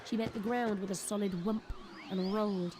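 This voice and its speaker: A woman giving dramatic scripted narration for an audio drama, expressive and suspenseful. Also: medium pitch, normal speed, noisy background. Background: prominent background music.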